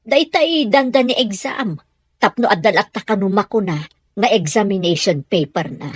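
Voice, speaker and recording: A woman's voice: medium pitch, lively intonation, moderate pace, breathy edge, no room sound, clean studio sound. A woman with an Ilocano Filipino accent playing a caring, worried middle-aged mother in an audio soap drama.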